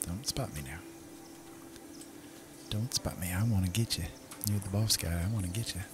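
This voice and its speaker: A man's voice: sits low, normal speed, noisy background. A man hosting a gaming video with casual, playful, chatty commentary, often talking to himself.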